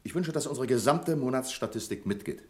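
An actor playing a strict, pedantic bank branch manager in a German radio play, with a commanding, insistent manner. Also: male voice, mid-range voice, expressive, a little fast, lightly acted. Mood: brisk.

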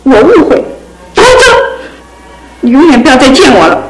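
A woman's voice: high voice, sing-song, moderate pace, poor audio. An actress playing a jealous, wounded young sweetheart in a radio drama, petulant and accusing in her emphatic delivery.

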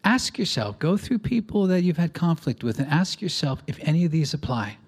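Animated New preacher, fairly high voice. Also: male voice, moderate pace, husky. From New York area. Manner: lively storytelling, acting out conversations. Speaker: guest preacher. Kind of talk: sermon with interpretation.

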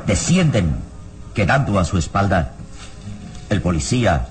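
Animated, suspenseful radio serial narrator. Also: male voice, deep voice. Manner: suspenseful, solemn, measured phrasing. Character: omniscient suspenseful adventure storyteller. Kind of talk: dramatic scripted narration, old radio serial.

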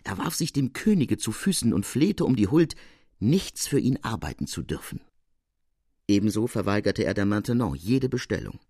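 A man narrating a classic literary tale for a radio audiobook in an expressive, formal way. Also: fairly low pitch, moderate pace, slightly rough, dry recording, clean studio sound.

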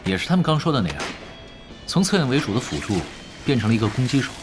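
A man's voice: medium pitch, quick pace, lightly acted. A man playing a laid-back young esports pro in an audio drama, explaining like an expert and teasing in a nonchalant way. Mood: calm, analytical. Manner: relaxed, nonchalant, analytical. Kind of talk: audio drama acting, conversational. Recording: music behind the voice.

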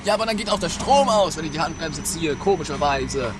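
Exasperated, exclamatory male driver, acted by a man, highish swooping voice, radio comedy, noisy recording. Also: animated, fairly quick, close to an everyday voice. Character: excitable, grumbling, put-upon male car driver. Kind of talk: trashy comedy radio play acting. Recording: noisy amateur 1980s radio play recording.

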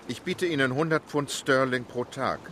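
A composed, decisive English gentleman, played by a man in a radio play. He speaks calmly and formally in short, clipped sentences, over a clear bed of background noise. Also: medium pitch, moderate pace, lightly acted.

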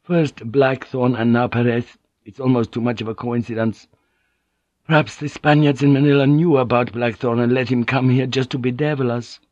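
A man reading an audiobook and voicing a priest with an icy, measured manner. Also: mid-range voice, little pitch movement, darkish tone, no echo, highs cut off, voice pushed up, close to an everyday voice. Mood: wary. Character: cool, icy male priest.

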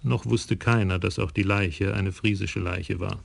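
A man narrating a radio crime play in a wry, measured storytelling manner. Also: sits low, steady tone, vocal fry, breathy edge, no room sound. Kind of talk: scripted radio drama narration.

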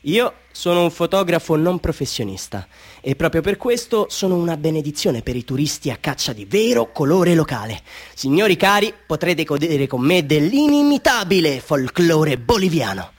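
Chatty, higher-pitched hustling street photographer. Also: male voice, big pitch swings, moderate pace. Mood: ingratiating, jokey. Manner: showman patter, ingratiating, mock-grand, swinging into wry asides. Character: chatty, self-mocking Bolivian amateur street photographer hustling tourists. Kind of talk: theatrical radio drama monologue addressed to tourists.

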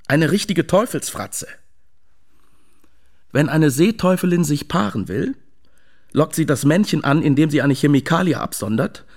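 An actor playing a wry, misanthropic fish lover in a German radio drama, telling things in a deliberate, matter-of-fact way. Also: male voice, fairly high pitch, darkish tone.

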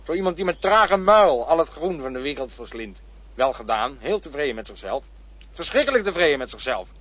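An actor in a radio drama playing a fearful man who looks back on his poor childhood, talking in a poetic, musing and sometimes prickly way, with a husky voice. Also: a little high, sing-song, a little fast, fairly bright tone, full character voice. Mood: prickly, defensive. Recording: dull lo-fi radio play recording.

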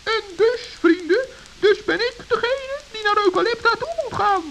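Casting: kindly little gnome, extremely high creaky voice, earnest, children's radio play, faintly hissy recording. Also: male voice, moderate pace, pushed and bright, heavily acted. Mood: eager, confident. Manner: earnest, musing aloud. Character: small kindly, trusting male forest gnome. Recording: hissy, crackly old audio recording.

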